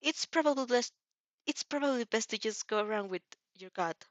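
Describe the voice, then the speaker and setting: A woman's voice: a little high, lively intonation, a little fast, dry recording, natural voice. A woman voicing a shy, kindly teenage boy in a story, hesitant and apologetic. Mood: nervous, helpful. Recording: dry, slightly dull lo-fi recording.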